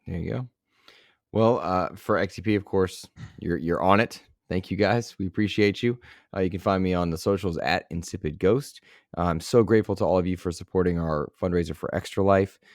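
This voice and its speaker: A man's voice: low voice, brisk, dry recording, clean studio sound. A man hosting a gaming podcast, earnest and reflective, whose voice is getting worn. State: losing his voice. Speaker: podcast host. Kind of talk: casual podcast chat.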